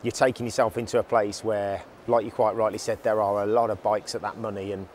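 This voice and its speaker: A man's voice: on the low side, level tone, brisk, a little hiss or hum. A man in his thirties, presenting casually and enthusiastically with many restarts, standing in an exhibition hall. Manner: chatty, enthusiastic, frequent restarts. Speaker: motorcycle show presenter. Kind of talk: casual review chat. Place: indoor motorcycle exhibition hall.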